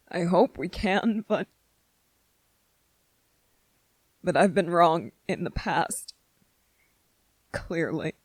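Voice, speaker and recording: Woman as a husky, hesitant, anxious young man. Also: fairly low pitch, no echo, rough recording. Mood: hurt, doubting. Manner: hesitant, halting, with repeated phrases and pauses. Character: anxious, self-doubting gentle young male soldier, feeling betrayed. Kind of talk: scripted dramatic ASMR roleplay monologue.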